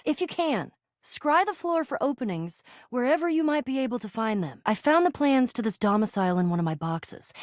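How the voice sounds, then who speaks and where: Eager little box hero from a video game, high bouncy voice, theatrical noble thief type, kinda giddy. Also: female voice, no echo, studio quality. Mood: rallying, daring. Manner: theatrical, grandiose wording mixed with giddy slang and stammers. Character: plucky, eager living cardboard box adventurer and self-styled noble thief. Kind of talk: animated game character dialogue.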